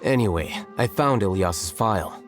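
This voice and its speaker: A man voicing a calm, analytical young scholar in a video game, speaking in a measured, matter-of-fact way. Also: on the low side, faint music behind, lightly acted. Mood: composed, matter-of-fact.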